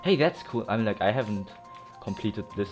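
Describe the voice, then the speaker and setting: A young man with a low voice chats his way through a video game. He rambles casually in slangy phrases, with game music clearly playing behind him. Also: animated, brisk. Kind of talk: casual let's play commentary.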